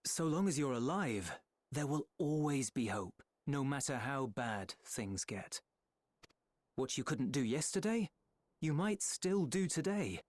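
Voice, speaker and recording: A man voicing an earnest, steady companion in a game cutscene, speaking in an encouraging way. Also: medium pitch, a little slow, dry recording, clean studio sound. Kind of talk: video game cutscene dialogue.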